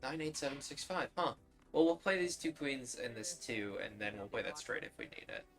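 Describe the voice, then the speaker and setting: A man's voice: medium pitch, expressive, a little fast, fairly bright tone. A man streaming a game, thinking aloud and explaining his choices in casual commentary. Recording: music and radio drama behind.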